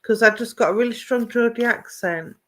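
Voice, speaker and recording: A woman's voice: fairly high pitch, fairly quick, a bit bright. A woman working as a psychic medium, giving live online readings, greeting viewers by name and calling them "my darling". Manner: affectionate, calls viewers "my darling"; greets and addresses viewers by name, asks if things make sense.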